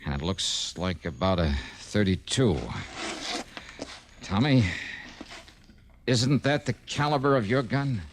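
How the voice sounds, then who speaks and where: An actor playing a tough, unflappable insurance investigator with an American accent in a 1950s radio detective style. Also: male voice, lowish voice, takes it slowly, pauses often, a little hiss or hum. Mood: brisk, probing. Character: tough, unflappable male freelance insurance investigator, narrating and questioning. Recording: old radio drama recording, music underneath.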